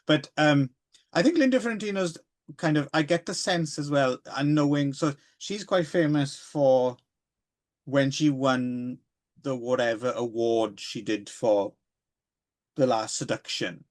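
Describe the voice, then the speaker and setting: A man's voice: medium pitch, unhurried. A man co-hosting a podcast, chatting casually and rambling about films, with many hesitations and asides. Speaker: podcast co-host.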